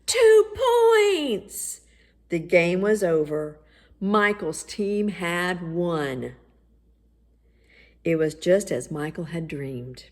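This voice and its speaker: Female children's storybook narrator, slightly low pitch, unhurried, expressive read. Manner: expressive, deliberate. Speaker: storybook reader.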